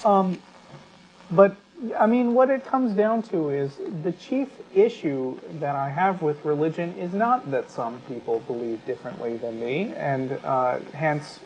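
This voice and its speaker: A man co-hosting a talk show, giving unscripted commentary in a halting, self-correcting, argumentative way. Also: fairly high pitch, lively intonation, a little mellow. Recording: dull sound with clear background noise.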